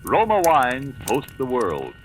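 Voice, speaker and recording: A man's voice: mid-range voice, wildly swooping pitch, takes it slowly, low-quality recording. A man reading 1940s radio commercials as a sponsor's announcer, with a formal, emphatic sales delivery. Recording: vintage radio broadcast with music.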